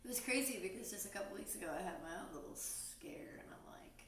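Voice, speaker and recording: A woman's voice: medium pitch, quick pace, whispered, distant mic. Woman in her thirties, slightly off-mic. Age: 30s. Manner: relaxed and chatty, laughs between lines. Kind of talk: casual chat. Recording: echoey, off-mic, faint hiss.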